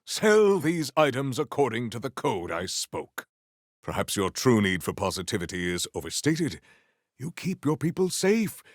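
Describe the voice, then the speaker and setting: A man playing a proud, stern warrior in a video game, speaking formally and theatrically in grandiose declarations with a gravelly edge. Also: medium pitch, very animated, moderate pace, no room sound, clean studio sound. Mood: solemn, formal. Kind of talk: video game character voice lines.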